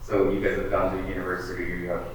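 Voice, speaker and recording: A man in his thirties from the United States talking in a relaxed, reflective way in a casual group discussion. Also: deep voice, quick pace. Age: 30s. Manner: relaxed, reflective, loose with kinda and you know. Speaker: panel guest. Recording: echoey room, distant mic, faint hiss.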